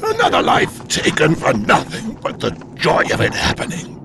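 An actor playing an ancient, bitter demon overlord in an audio drama, gravelly, halting and grandiose. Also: male voice, fairly high pitch, wildly swooping pitch, noisy background, heavily acted. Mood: bitter, defiant. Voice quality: gravelly, breathy. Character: ancient, bitter, defiant male demon overlord, badly wounded. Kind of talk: audio drama villain acting.

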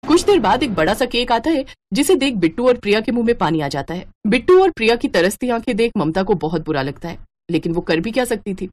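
A woman's voice: on the high side, sing-song, quick pace, a little mellow, a little hiss or hum. A woman narrating a moral story in a scripted storybook style.

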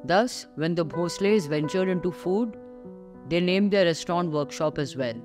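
A middle-aged woman with an Indian English accent reads a travel and food voice-over. Her delivery is measured and descriptive, and she sounds enthusiastic about the dishes. Background music plays under her voice throughout. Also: lowish voice. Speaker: food vlogger host. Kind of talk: scripted voice-over narration of a restaurant visit. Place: voice-over recorded separately from the restaurant scenes. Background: background music under the narration.

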